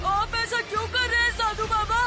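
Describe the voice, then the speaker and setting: A woman's voice: very high voice, flat delivery, moderate pace. A woman voicing an eager, polite young village boy in a cartoon. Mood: pained pleading. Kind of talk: cartoon voice acting. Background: music.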